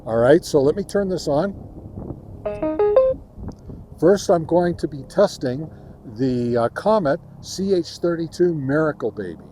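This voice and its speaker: A man presenting an informal field test outdoors, speaking haltingly with many ums. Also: a little high, expressive, over music, rough recording. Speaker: ham radio video reviewer, host. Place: outdoors in a windy park.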